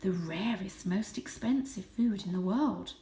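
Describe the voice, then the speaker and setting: A woman voicing a genteel, easily impressed mother cat in a storybook, with admiring, drawn-out delivery. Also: medium pitch, warm tone, far from the mic, slight background noise, lightly acted. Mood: awed whisper. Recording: echoey room.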